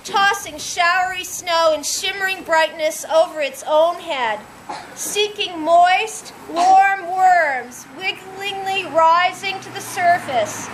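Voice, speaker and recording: A woman reading her own poems aloud at a nighttime vigil in an outdoor gazebo, slow and deliberate, recorded by a distant camera. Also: very high-pitched, noisy background. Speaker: poetry reader at a vigil.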